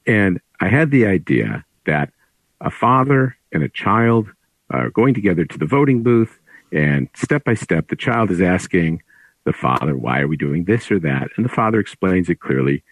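Deep, husky man. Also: very animated, moderate pace, no room sound, low-quality recording. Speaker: author, guest. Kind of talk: conversational storytelling about his work.

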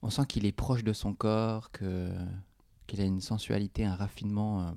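A man hosting a casual interview, soft-spoken and playfully teasing his guest. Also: on the low side, little pitch movement, moderate pace, no room sound, studio quality. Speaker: host.

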